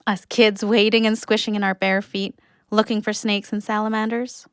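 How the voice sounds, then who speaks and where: A woman's voice: medium pitch, flat delivery, moderate pace, smooth, no echo, studio quality, natural voice. A woman playing an earnest, wistful young adult in an audio drama. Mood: wistful, tender. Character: young Canadian, wistful, nature-loving, awkward and earnest. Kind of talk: audio drama narration and acting.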